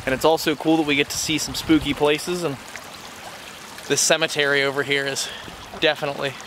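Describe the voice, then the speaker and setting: Upbeat young vlogger outdoors. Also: male voice, on the high side, little pitch movement, fairly quick, noisy background. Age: young adult. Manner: chatty, upbeat, walks while talking. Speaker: vlog host. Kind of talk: casual vlog narration to camera. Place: outdoors by a creek and cemetery. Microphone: handheld camera at arm's length.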